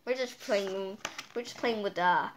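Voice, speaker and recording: A woman hosting a read-aloud storytime, reading a picture book in a halting way with playful, chatty asides. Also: medium pitch, slight background noise. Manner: halting reading, stumbling over words; playful, exclaiming.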